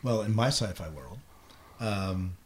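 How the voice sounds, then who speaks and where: A man's voice: fairly low pitch, expressive, slow pace. A middle-aged American man hosting a podcast, chatting casually about what he has been watching and reading. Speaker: podcast host. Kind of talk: casual chat about shows and films. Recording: clearly noisy podcast recording.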